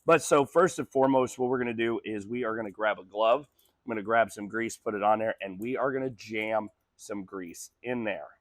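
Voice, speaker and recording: A man's voice: on the low side, fairly quick, no echo, studio quality. A man hosting a truck restoration vlog, talking casually with ums and small laughs while he loses his voice. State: losing his voice from postnasal drip. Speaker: host of a truck restoration channel. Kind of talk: casual how-to workshop vlog.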